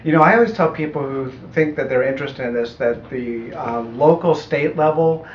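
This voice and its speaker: A middle-aged American man explaining his audiobook work in a measured, thoughtful way during an interview. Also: mid-range voice. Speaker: audiobook producer being interviewed. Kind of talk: conversational interview answers. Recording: echoey room, muffled, faint noise.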